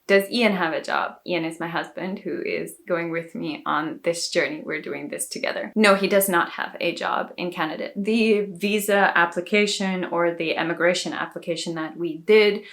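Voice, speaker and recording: A woman's voice: lowish voice, normal speed, hardly any pauses, fairly bright tone. A woman from South Africa with an accent shaped by years in Dubai, chatting to camera in a vlog and explaining things step by step. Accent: South African with a Dubai-influenced lilt. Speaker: vlogger. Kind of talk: casual talking-to-camera vlog.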